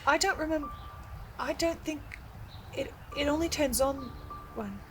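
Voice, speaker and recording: A woman playing an anxious but defiant reluctant archivist, speaking haltingly and stammering in a horror drama. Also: sits high, soft background music. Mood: hesitant, wary. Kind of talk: emotional horror audio drama acting.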